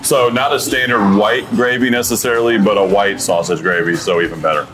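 Low-voiced easygoing restaurant owner. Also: male voice, fairly even intonation, brisk, a bit bright. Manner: easygoing, enthusiastic, fillers like "you know". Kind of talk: casual chat about food. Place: restaurant dining room, indoors. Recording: music playing underneath.

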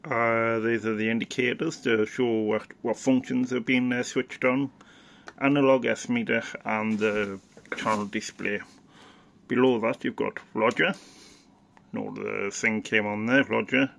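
A man talks through a piece of gear in a casual, unscripted way. His pace is slow and halting, and his voice has a creaky, gravelly edge at an average male pitch. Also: steady tone, a bit bright. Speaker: hobbyist presenter. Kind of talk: casual off-the-cuff product demonstration.

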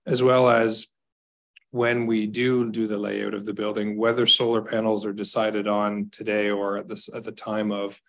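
A Canadian man in his thirties, a staff member reporting to a council over a video call from an office, measured and hesitant with fillers. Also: on the low side, monotone, fairly bright tone. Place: small office.

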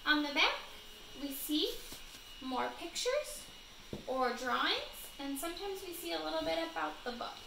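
A young woman giving a children's read-aloud lesson in a deliberate, instructional way, recorded indoors in a living room. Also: sits high, sing-song, vocal fry, sharp tone. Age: young adult. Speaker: teacher reading aloud. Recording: echoey room, noisy home recording.

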